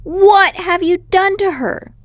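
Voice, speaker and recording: A woman's voice: very high-pitched, steady tone, slow, no echo, pitched above the performer's own voice. A woman voicing an indignant, worried, outspoken young boy in a storybook, insistent and questioning. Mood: indignant, alarmed. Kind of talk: storybook character voice.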